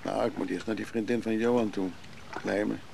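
Gruff guarded guy on an old boat, radio play, brusque everyday talk, bit of noise. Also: lowish voice, fairly even intonation, fairly quick. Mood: guarded, wry. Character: gruff, guarded man living on his leaky old boat. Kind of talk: naturalistic radio drama acting.

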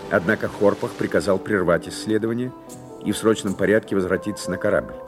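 A man's voice: on the low side, steady tone, a little fast, natural voice. A man reading scripted, dramatic narration for an audio drama. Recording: clear music under the voice.

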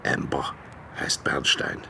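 An older man acting in a radio drama as a gruff, wryly nostalgic East German dog handler who talks in unhurried, terse phrases. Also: background noise, close to an everyday voice.

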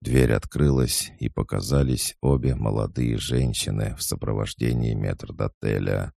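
A man narrating a classic novel in a measured, even reading style, close to the mic. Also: extremely low pitch, creaky, dry recording. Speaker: audiobook narrator.

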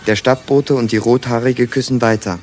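An actor playing a detached narrator who reads terse stage directions in a radio play. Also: male voice, medium pitch, fairly even intonation, normal speed, darkish tone, lightly acted.

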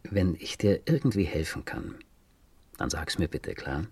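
A man acting a patient, gentle police detective in a crime radio play, questioning politely and in a measured way. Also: rumbling low, animated, breathy edge, no echo, full character voice. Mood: gentle, probing.

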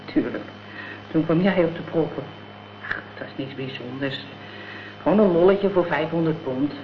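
A woman's voice: sits low, normal speed, close to an everyday voice. An actress playing a gangster's tough, vengeful girlfriend in a radio drama, talking in blunt, scornful slang. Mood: matter-of-fact. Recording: dull, noisy old radio play recording.

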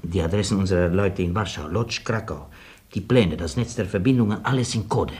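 A man acts a loyal military servant in a radio drama. His voice is low, and his delivery is dry, mixing deference with cheek. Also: brisk, darkish tone, lightly acted. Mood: dry, reporting. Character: loyal, dryly cheeky male orderly of a Polish colonel.

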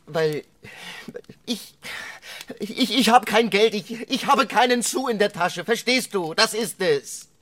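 An actor in a German radio drama playing a penniless young lover, evasive and flustered, who stammers, repeats himself and pleads. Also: male voice, high-pitched, lively intonation, a little slow, fairly bright tone. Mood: pleading, desperate.

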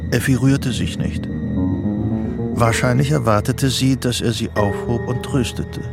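An actor as the narrator of a psychological thriller, reading scripted radio drama narration. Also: male voice, medium pitch, expressive, unhurried, gravelly, over loud music. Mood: sober.

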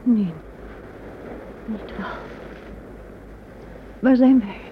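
A woman's voice: fairly high pitch, extremely slow. An actress in a radio play playing a young, fearful, visionary queen in a theatrical, dread-filled manner. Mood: frightened. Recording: noisy, dull old radio recording.

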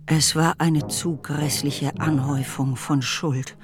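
Sehr langsam erzählende Frauenstimme im Hörspiel. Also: female voice, sits low, fairly even intonation, takes it slowly, over music. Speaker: narrator. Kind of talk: scripted literary narration in a radio drama.

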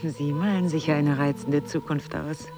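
An actress playing a cool, teasing, evasive wife in an intimate audio drama, speaking with measured, coy irony. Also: female voice, deep voice, fairly even intonation, over music, close to an everyday voice. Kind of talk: intimate two-person audio drama acting.

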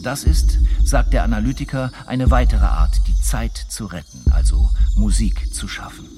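A man playing a reflective thinker in a radio piece, reciting an argument in a deliberate, rhetorical way. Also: on the low side, very animated, over music. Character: reflective male thinker reasoning aloud about music. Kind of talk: recited reading of essay, radio play.

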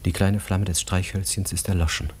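Male narrator for literary radio drama: low voice, measured storytelling, some background noise. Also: little pitch movement, darkish tone. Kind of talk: literary radio play narration, present tense.

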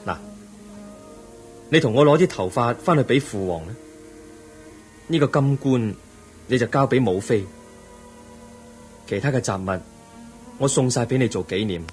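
An actor playing a calm, resolute young prince, gentle and earnest, in a radio drama. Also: male voice, medium pitch, unhurried, long pauses, faint music behind, close to an everyday voice. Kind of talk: Cantonese radio drama acting.

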